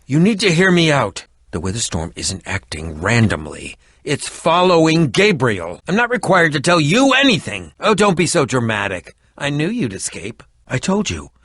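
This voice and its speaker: A man's voice: fairly high pitch, huge pitch swings, normal speed, few pauses, fairly bright tone, no echo, full character voice. Dramatic middle-aged male game schemer, snooty and defensive, voice jumps all over, really dry sound. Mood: haughty, defensive. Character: eccentric theatrical middle-aged male potion-brewing schemer. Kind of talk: video game character dialogue.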